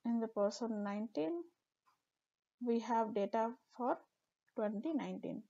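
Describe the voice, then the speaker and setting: A woman narrates a screen-recorded software tutorial, explaining each step with occasional fillers. Her voice sits a little high and mellow. The recording sounds dull and lo-fi. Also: measured pace, creaky. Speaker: tutorial instructor.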